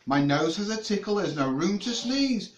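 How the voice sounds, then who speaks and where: A man's voice: sits high, sing-song, normal speed, reverberant, far from the mic, dull audio, a little hiss or hum. A man voicing a flustered, complaining little old lady from a storybook in a plaintive, exclamatory way. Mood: exasperated.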